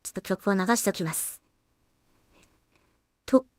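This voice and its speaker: A woman's voice: a little slow, dry recording, studio quality. Woman muttering to herself while working. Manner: thinking aloud in short fragments. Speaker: solo animation creator.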